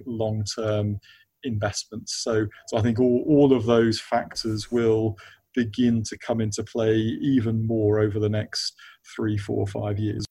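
The male expert guest on a finance podcast, cautious and halting, keeping an even tone as he thinks aloud. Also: on the low side. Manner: halting, repeats words as they search for phrasing. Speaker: interview guest, investment funds expert at a law firm. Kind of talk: unscripted business podcast interview.

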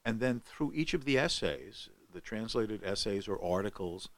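A man's voice: fairly low pitch, lively intonation, normal speed, a bit bright, dry recording. An older man telling a long story on a podcast, with many fillers and restarts. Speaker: guest scholar. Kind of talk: podcast conversation.

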